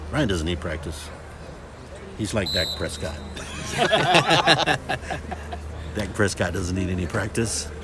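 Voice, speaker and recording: A middle-aged man hosting a casual livestream of a football scrimmage, loud, talkative and joking. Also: sits low, slow pace, long pauses. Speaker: livestream sports host. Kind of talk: casual sports commentary chat. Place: outdoors at a football field. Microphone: phone camera. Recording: crowd noise at outdoor game. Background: crowd at a game.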